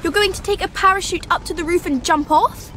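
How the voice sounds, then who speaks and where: A woman's voice: extremely high pitch, a little fast, noisy background. A woman voicing a watchful, scolding young girl, a childlike daughter figure in a video game. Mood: incredulous. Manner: cautioning, matter-of-fact, scolding.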